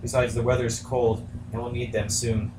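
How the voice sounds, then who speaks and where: Casting: man as brave rabbit captain in a puppet tale, measured and polite, medium pitch, roomy sound. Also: flat delivery, close to an everyday voice. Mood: calm, cautious. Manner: measured, courteous, reasoning. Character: brave, diplomatic sea captain rabbit, adult male. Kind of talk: amateur puppet story dialogue. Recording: echoey room, far mic, faint noise.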